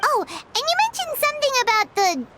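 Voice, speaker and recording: A woman voicing an excitable tiny female floating companion who talks about herself in the third person, with a high squeaky childlike voice in game audio with background music. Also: fairly quick, heavily acted. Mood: excited. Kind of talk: game voice acting.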